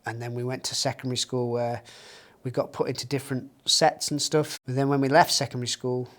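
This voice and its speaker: A young man, a singer-songwriter speaking softly and reflectively in a documentary interview. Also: mid-range voice, level tone, brisk. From Northwest England. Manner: soft-spoken, reflective, grieving. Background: guitar music.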